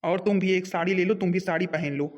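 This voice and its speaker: Man playing high-voiced bossy cartoon elder brother. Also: steady tone, quick pace, a little mellow, natural voice. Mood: angry, scolding. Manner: scolding, repetitive, bossy. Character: angry, domineering elder brother scolding his household. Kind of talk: Hindi family comedy cartoon voice acting.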